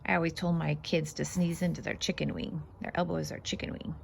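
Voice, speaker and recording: A woman reading a children's picture book aloud as host, expressive and sing-song, stressing the rhymes and adding casual asides. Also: low-pitched, fast talker, creaky, slight background noise.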